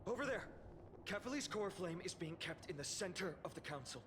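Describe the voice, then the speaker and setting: A man's voice: sits high, lively intonation, a little fast, background noise. A man voicing a resolute, earnest warrior in a video game, urgent and duty-bound. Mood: grave, urgent.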